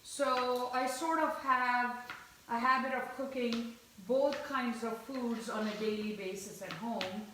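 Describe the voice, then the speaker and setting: A woman from India with a fairly high voice talks viewers through a home recipe, chatty and explaining each step as she cooks. She is heard from across a kitchen, with lots of echo and a faint hiss behind her. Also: slow pace, pushed and bright. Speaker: cooking show host. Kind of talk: live-streamed cooking demonstration. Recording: echoey kitchen from far off.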